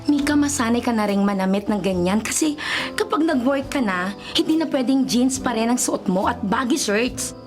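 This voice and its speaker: A woman's voice: on the high side, expressive, moderate pace, few pauses, lightly acted. A woman with a Filipino accent playing a gushing, insistent young big-sister figure in a radio drama. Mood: bossy, affectionate. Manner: gushing, insistent, mixes in English phrases. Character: bubbly, bossy, affectionate big-sister woman, Ate Karen. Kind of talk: Tagalog radio drama acting. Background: music bed.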